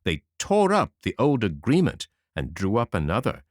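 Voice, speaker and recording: A man narrating a fairy tale audiobook in a dramatic, expressive reading. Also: on the low side, moderate pace, no echo. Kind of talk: scripted storybook narration. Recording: dry close audiobook mic.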